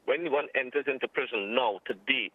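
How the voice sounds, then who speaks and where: Man, Trinidadian accent, dry telephone sound, medium pitch, formal and emphatic, measured expert commentary. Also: no echo. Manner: emphatic, measured, formal, repeats phrases for stress. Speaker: interviewed security expert. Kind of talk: phone interview commentary. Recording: muffled narrow-band telephone line.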